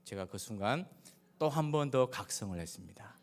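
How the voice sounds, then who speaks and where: Low-voiced Korean pastor with Gyeongsang accent. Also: male voice, expressive, normal speed. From Daegu, South Korea. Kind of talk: sermon with personal anecdotes. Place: large reverberant church hall. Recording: echoey hall with long reverb.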